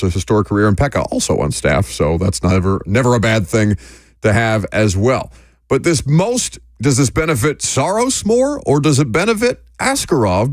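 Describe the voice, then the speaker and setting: A man hosting a sports radio show, steering the talk with questions and quick playful asides. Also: mid-range voice, no echo, studio quality. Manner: conversational, asks questions, playful interjections. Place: radio studio. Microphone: studio microphone.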